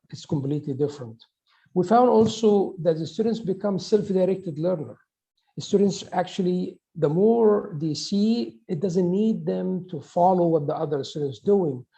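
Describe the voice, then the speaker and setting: A middle-aged man from Egypt, giving a conference talk in a halting way with restarts and fillers. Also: on the high side, vocal fry, dark tone, low-quality recording. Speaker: conference presenter, professor.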